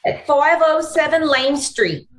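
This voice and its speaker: A woman's voice: high-pitched, slow pace. A woman playing an anxious, hesitant young woman in trouble, in the style of a 1940s radio drama. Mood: earnest, pleading. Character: anxious, pleading young woman mixed up with crooks. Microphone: video call. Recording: echoey online-call recording.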